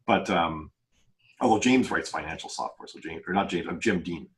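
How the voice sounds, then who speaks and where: A man's voice: sits low, moderate pace, low-quality recording. A man in casual panel chat, thinking aloud in a rambling, explanatory way.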